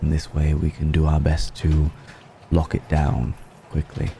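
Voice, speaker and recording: A man voicing a suave, menacing vampire noble in an intimate ASMR roleplay, speaking in a genteel, teasing way with drawn-out pauses. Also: a bass voice, completely flat delivery, vocal fry, dark tone, slight background noise, full character voice. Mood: courteous, secretive. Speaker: voice actor.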